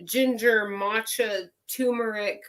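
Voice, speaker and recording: A woman with a raspy, hoarse voice from a cold, chatting slowly and chuckling while leading a drumming circle on a video call. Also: on the high side, fairly even intonation, fairly bright tone. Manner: slow, chuckling, unhurried. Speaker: drumming circle song leader. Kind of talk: casual chat and hand-drum singing. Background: hand drum.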